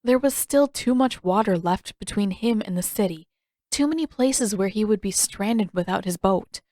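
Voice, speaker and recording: A woman's voice: mid-range voice, moderate pace, mellow, dry recording, clean studio sound. A woman reading scripted fiction as an audiobook narrator.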